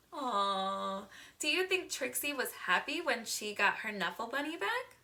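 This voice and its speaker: A woman reading a picture book aloud to young children in an expressive teacher's manner, drawing words out. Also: fairly high pitch, slow pace. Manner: expressive, asks the listener questions, gasps, draws words out.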